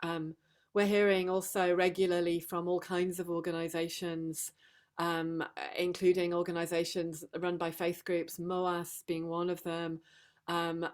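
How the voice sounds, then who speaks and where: A hoarse, somewhat croaky middle-aged woman talks on a video call. Her voice sits a bit low, and she speaks earnestly and reflectively, with frequent ums and restarts. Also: darkish tone. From England, Sheffield. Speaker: guest speaker.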